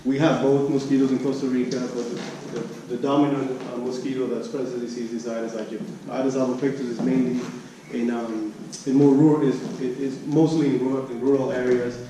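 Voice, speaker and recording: A man presenting research at an academic conference, speaking haltingly and often repeating and restarting his phrases. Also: medium pitch, level tone, mellow. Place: conference room. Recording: echoey room, distant mic, background noise.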